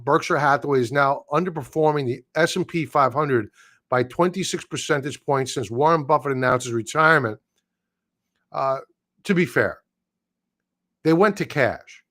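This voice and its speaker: A man hosting a live market stream, talking through charts in a blunt, opinionated way with casual slang, his voice going hoarse and breaking into throat-clearing. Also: mid-range voice, moderate pace, fairly bright tone. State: losing his voice.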